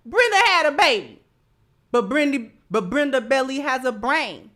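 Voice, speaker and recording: A woman's voice: sits high, very animated, moderate pace, a bit bright. An American woman host giving opinionated commentary in informal, colloquial speech, emphatic and repeating phrases to drive a point home. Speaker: livestream host.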